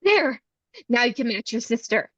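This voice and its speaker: A frightened but defiant young beauty queen, acted by a woman at a table read. Her voice sits fairly high with a rough, breathy edge. She confronts her opponent urgently in this horror drama, captured dry and close. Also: lively intonation, moderate pace, pushed and bright, no echo, highs cut off. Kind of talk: live screenplay table read.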